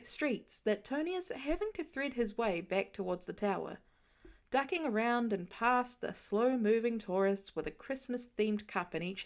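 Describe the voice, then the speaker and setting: Woman reading a story aloud. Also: mid-range voice. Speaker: podfic reader. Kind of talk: fiction audiobook narration.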